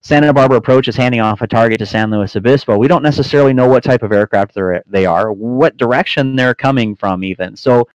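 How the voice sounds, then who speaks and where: A man's voice: mid-range voice, quick pace, hardly any pauses, smooth, no echo. A man with a mid-range voice chats as a podcast guest. He explains things in a casual, self-correcting way, and the sound is close and dry with a slightly dull, lo-fi edge. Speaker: podcast guest, flight-sim air traffic controller and trainer.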